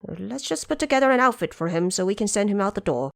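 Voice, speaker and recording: A woman's voice: mid-range voice, lively intonation, quick pace, vocal fry, no room sound, clean studio sound. A woman voicing a cautious male sea dragon king who urges his brothers toward a peaceful way out, in an audio drama. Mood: urging.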